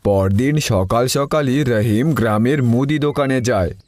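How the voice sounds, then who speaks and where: A man's voice: mid-range voice, measured pace, a little mellow. A man narrating a scripted cartoon story for viewers.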